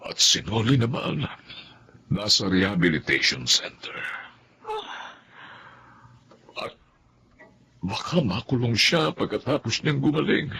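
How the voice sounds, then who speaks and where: An actor playing an older, apologetic employer in a Filipino radio drama. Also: male voice, lowish voice, expressive, a little slow, vocal fry, pushed and bright, slight background noise, exaggerated voice. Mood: somber. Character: older wealthy employer, remorseful father, polite and apologetic.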